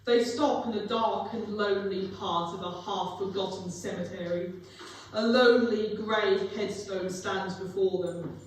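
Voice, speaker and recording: A man's voice: high-pitched, fairly even intonation, unhurried. A young male narrator giving a scripted dramatic narration of a story. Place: live performance in a reverberant museum room. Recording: very echoey hall, distant mic, faint noise.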